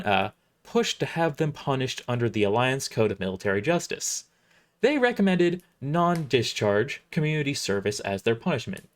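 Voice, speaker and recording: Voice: medium pitch, lightly acted. A performer voicing an irritable, imperious military starship admiral, narrating an audio drama in formal, wordy first person with stumbles over the script. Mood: dry, formal.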